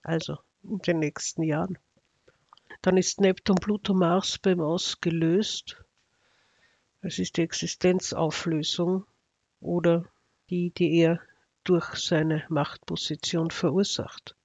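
A man's voice: fairly high pitch, level tone, takes it slowly, creaky, long pauses, a little mellow, no echo. A man with an Austrian accent giving casual, unscripted commentary as an astrology video narrator, in a sardonic, ironic manner.

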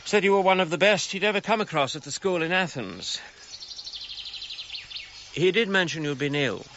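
An actor playing a formal, exacting gentleman archaeologist in a radio drama, with a precise, commanding manner. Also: high-pitched, expressive, normal speed, lo-fi sound, background noise. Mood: reserved.

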